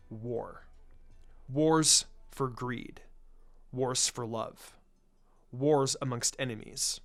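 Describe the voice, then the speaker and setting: A narrator reading a scripted story in an expressive, dramatic way, drawing out phrases for effect. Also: mid-range voice. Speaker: fan fiction narrator.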